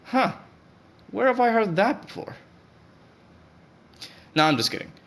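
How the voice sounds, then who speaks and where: A man's voice: sits high, expressive, a little slow, creaky, fairly bright tone. A man from Saudi Arabia with an English accent, talking in a rambling, hesitant voice-over with many fillers and restarts. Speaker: gaming video creator. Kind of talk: unscripted voice-over commentary. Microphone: voice-over over gameplay footage. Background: guitar music.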